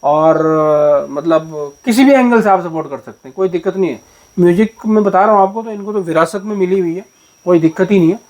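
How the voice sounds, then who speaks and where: A man with an Uttarakhand accent hosts a casual interview and heaps on praise, his talk loose and full of fillers. His voice is high for a man. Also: a little fast, darkish tone. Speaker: vlogger, interviewer.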